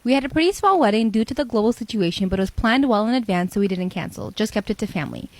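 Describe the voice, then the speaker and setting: A woman narrating first-person storytime posts in a brisk, even reading voice. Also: a little high, no room sound.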